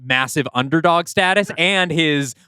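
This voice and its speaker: A man's voice: fairly high pitch, a little slow, bright, forceful tone, clean tone, dry recording, clean studio sound. A man co-hosting a casual podcast, chatty and analytical, full of "like" and fillers. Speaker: podcast co-host.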